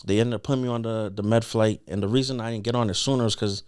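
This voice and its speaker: A man's voice: on the low side, fairly even intonation, fast talker, darkish tone, clean tone. A young man telling a personal story as a podcast guest in a casual, slangy, earnest conversational way.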